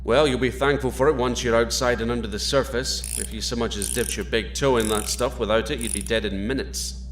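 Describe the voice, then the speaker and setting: A steady space captain with dry wit, played by a man in a science fiction audio drama. His voice sits at an ordinary middle pitch. Heavy ambient noise hums under the scene. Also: fairly quick, natural voice. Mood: calm. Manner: calm, dry joking. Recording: noisy background ambience.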